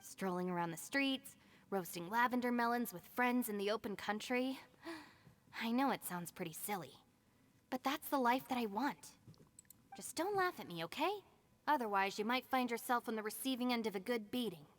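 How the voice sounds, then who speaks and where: A woman's voice: fairly high pitch, animated, moderate pace, natural voice. A woman playing a cool, capable young gang deputy in a video game, composed, dry and frank. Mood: frank, confiding. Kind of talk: English video game voice acting.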